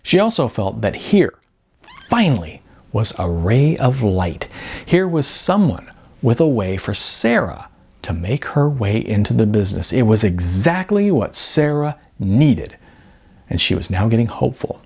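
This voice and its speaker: A man's voice: lowish voice, very animated, unhurried, gravelly, a little mellow, slightly rough. A man reading scripted fiction as an audiobook narrator.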